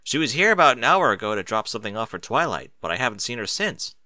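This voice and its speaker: A man's voice: mid-range voice, big pitch swings, fast, pushed and bright, no room sound. A man voicing an easygoing, slightly grumbly young male dragon with a casual manner in a story reading. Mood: casual, unbothered. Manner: casual, shrugging.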